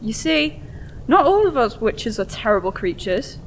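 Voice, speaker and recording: A woman acts a poised witch countess in a comic fantasy audio drama. Her voice is a little high and very expressive, delivered slowly and coaxingly. There is a faint rumble of noise behind her. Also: poor audio.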